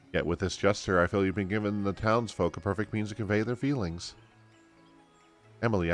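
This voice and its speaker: A man's voice: low voice, close to an everyday voice. A man voicing a courteous, effusive woman emissary from a fantasy game in formal, flowery speech. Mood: formal, encouraging. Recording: game music behind the voice.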